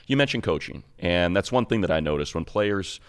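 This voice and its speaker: A man's voice: low voice, lively intonation, a little fast, smooth. An American man interviewing conversationally as a sports podcast co-host, wordy, rephrasing his questions as he goes. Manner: wordy, rephrases questions, voices imagined quotes.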